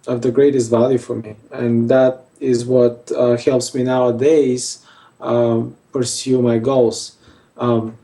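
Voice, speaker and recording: A man's voice: mid-range voice, creaky, warm tone, distant mic. A male surgeon from Bulgaria, answering interview questions hesitantly with many fillers. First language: Armenian. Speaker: facial plastic surgeon.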